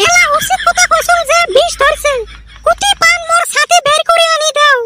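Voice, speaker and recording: A woman's voice: fairly even intonation, moderate pace, heavy background noise. A woman voicing a quarrelsome, scatterbrained cartoon village wife with a Rajbongshi Bengali accent and an artificially raised voice. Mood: scolding. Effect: artificially raised cartoon pitch. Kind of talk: comic cartoon dialogue. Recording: loud background music.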